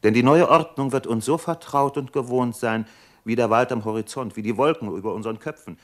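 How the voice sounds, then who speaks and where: A man playing an earnest, melancholy idealist doctor in a German radio play, speaking in a solemn, measured, oratorical way. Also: mid-range voice, close to an everyday voice. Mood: solemn, prophetic.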